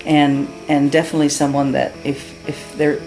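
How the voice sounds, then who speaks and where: A woman's voice: low voice, little pitch movement, moderate pace, a little mellow, soft background music, poor audio. A middle-aged woman from Cuba giving an earnest, heartfelt testimonial as a patient, with many fillers and restarts. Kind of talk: unscripted testimonial.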